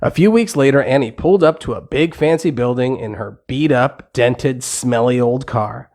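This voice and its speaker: A man's voice: medium pitch, moderate pace, darkish tone. Male narrator for a children's audio storybook, mid-range voice, scripted bedtime tale delivery.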